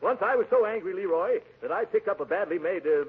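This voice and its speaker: An actor playing a pompous middle-aged uncle who blusters and stammers in a 1940s radio comedy style. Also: male voice, high voice, expressive, quick pace, slightly rough, full character voice. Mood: boastful. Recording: muffled, noisy 1940s radio recording.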